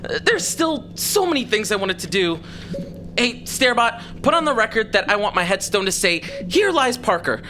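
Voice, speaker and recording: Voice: mid-range voice, sing-song, moderate pace, sharp tone, a little hiss or hum. An actor playing an anxious spaceship pilot in an audio drama, nervous and rambling, with a sarcastic streak. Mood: anxious, panicky. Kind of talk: scripted audio drama acting.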